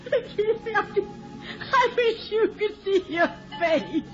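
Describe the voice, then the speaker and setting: High-voiced, teasing radio sweetheart. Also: female voice, animated, slow pace, music in the background, heavily acted. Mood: amused, teasing. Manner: coy, lightly teasing. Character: playful, teasing, affectionate actress, the professor's sweetheart and wife. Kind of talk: 1950s radio comedy-drama acting. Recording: muffled old 1950s radio recording.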